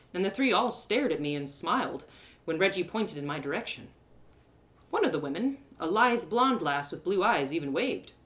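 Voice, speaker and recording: A woman reading a first-person fantasy story as an expressive, descriptive storyteller. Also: medium pitch.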